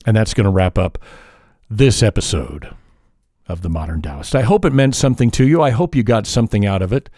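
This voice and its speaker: A man hosting a solo podcast, talking in a calm, measured, reflective way, sometimes pausing or correcting himself mid-sentence. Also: fairly low pitch, dry recording, studio quality. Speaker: podcast host.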